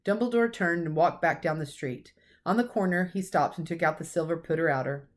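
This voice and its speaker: A woman teacher reading a storybook aloud to children with expressive narration. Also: lowish voice, a little fast.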